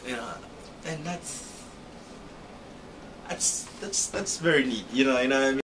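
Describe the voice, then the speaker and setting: A man from Zambia, answering interview questions in a lively way with many repeated "you know" phrases. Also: mid-range voice, measured pace. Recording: distant mic with background noise.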